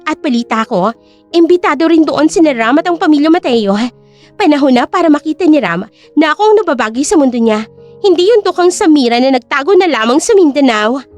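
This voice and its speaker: A woman's voice: high voice, animated, fairly quick, a little mellow, faint music behind. A woman voicing a spoiled, arrogant young woman in an audio drama, with a snobbish, sneering manner. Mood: smug.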